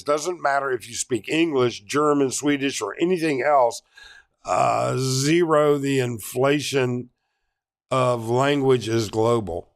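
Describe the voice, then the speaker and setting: Middle-aged man, unhurried stream host, hesitant and rambling, mid-pitched. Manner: halting, many ums and restarts, reads chat aloud. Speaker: livestream host. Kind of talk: casual live Q&A reading chat.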